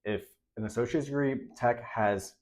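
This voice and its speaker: A man's voice: mid-range voice, moderate pace, a little mellow. A young man with a stuffy, nasal voice from a recent cold gives direct, emphatic career advice in a casual vlog. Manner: direct, emphatic, repeats key points. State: congested, nasal from a recent illness. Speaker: radiology tech creator giving career advice.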